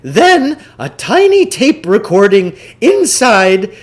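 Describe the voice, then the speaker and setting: A man reading a children's novel, voicing a pompous, theatrical, eccentric salesman who lectures with deliberate self-importance. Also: sits high, very animated, full character voice. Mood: grand lecturing. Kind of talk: children's novel read aloud in character.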